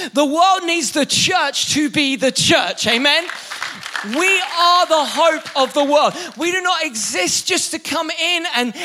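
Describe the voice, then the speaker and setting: Young preacher, high bright voice, lively and jokey, stumbles over restarts. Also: male voice. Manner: energetic, joking, many restarts. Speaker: pastor. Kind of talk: sermon. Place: church hall with live congregation.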